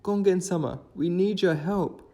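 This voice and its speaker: A man's voice: high-pitched, normal speed, mellow, voice pushed up. A man voicing a distressed villager in a folktale, with a plaintive, pleading delivery. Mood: anxious, pleading.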